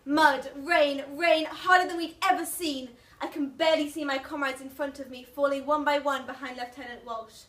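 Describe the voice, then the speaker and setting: A woman's voice: high voice, steady tone, moderate pace, hardly any pauses, fairly bright tone. A woman performing a fervent patriotic English soldier in a declamatory slam poetry recital. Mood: grim. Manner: declamatory, impassioned. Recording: noisy, echoey room, mic far off.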